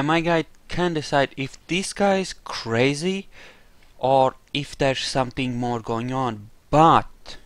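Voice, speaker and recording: A man's voice: on the high side, dry recording. A man giving casual roleplay playthrough commentary, musing hesitantly as he thinks aloud about what his character believes. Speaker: gaming video host.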